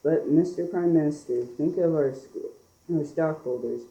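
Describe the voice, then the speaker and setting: Teenage boy playing dark-voiced pompous factory owner. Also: a little high, a little slow. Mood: indignant, pleading. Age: young teenager. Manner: formal, declamatory. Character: blustering pompous British factory owner fretting over stockholders. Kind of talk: amateur school radio play. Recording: muffled, echoey amateur room recording.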